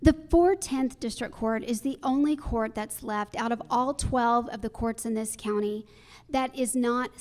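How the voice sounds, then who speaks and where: High-pitched woman answering at candidate forum. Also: a little mellow. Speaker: judicial candidate at a public forum.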